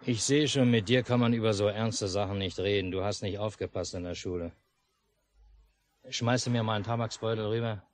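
An actor playing a stoic, dryly grumbling country father and narrator in a 1950s German radio play, laconic and unhurried. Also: lowish voice, steady tone, lightly acted. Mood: dry, unruffled.

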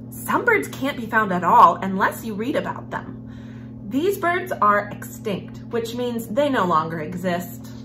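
Female children's read-aloud host, young, higher register, very animated delivery over music. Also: rough recording. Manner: expressive, animated storyteller, with hushed passages. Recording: music behind the voice. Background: background music.

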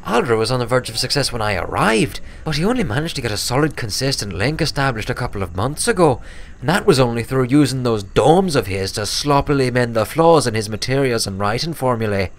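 A man's voice: medium pitch, big pitch swings, brisk. A man voicing a grave, earnest young filly pony who explains things precisely and wordily in a storybook reading. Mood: exasperated, explaining. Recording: clear background noise.

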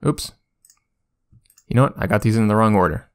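A man giving a casual software tutorial as a YouTuber, informal and self-deprecating, with the odd casual swear word. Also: fairly low pitch, brisk, heavy background noise.

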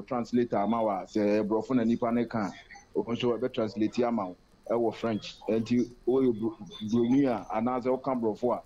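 A man's voice: mid-range voice, mellow. A man giving a live sports news report over the phone, fluently mixing Twi and English. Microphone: phone line. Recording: muffled phone line.

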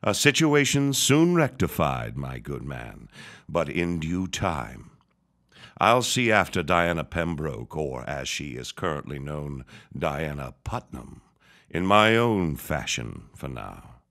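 A deep, slow, villainous old reverend. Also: male voice, very animated, a bit bright, heavily acted. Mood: smugly menacing. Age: older. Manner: deliberate, menacing, grandiloquent. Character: scheming, vengeful old reverend and villainous landowner. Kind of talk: period audio drama acting.

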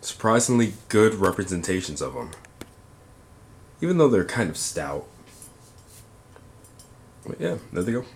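A man's voice: deep voice, sing-song, unhurried, pauses often. A man reviewing toys in an informal, matter-of-fact way, as a casual hands-on demonstration. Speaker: toy reviewer. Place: indoors at a tabletop display. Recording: clear background noise, handling clicks.

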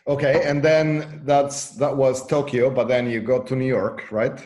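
A man in his forties hosting an interview over a video call, asking his guest questions in a relaxed way. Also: mid-range voice, measured pace. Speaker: host.

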